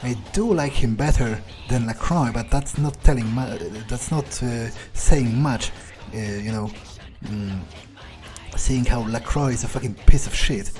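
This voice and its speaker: Casting: male gamer, medium-pitched, improvising in character with halting delivery over faint game music. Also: a little mellow. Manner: hesitant, halting, profane. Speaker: gamer role-playing a vampire character. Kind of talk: in-character game playthrough, thinking aloud.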